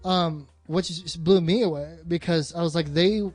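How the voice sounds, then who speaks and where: A man's voice: a little high, steady tone, moderate pace, darkish tone, faint music behind. A man co-hosting a casual film discussion, emphatic and opinionated, swearing freely. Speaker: podcast co-host.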